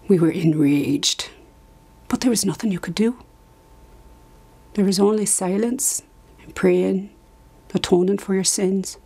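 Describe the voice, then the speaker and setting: A woman acting out a dramatized monologue as an anguished asylum survivor recalling her past, halting, with emphatic repetitions. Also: mid-range voice, warm tone, husky. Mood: hurt, despairing. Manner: halting, emphatic repetition, sudden drops to whisper. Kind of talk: dramatized monologue, confessional testimony.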